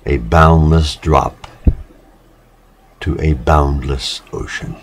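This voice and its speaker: A man giving a solemn literary reading, measured and dramatic, with pauses between short phrases. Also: a bass voice, a little hiss or hum. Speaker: reader of poetic literature.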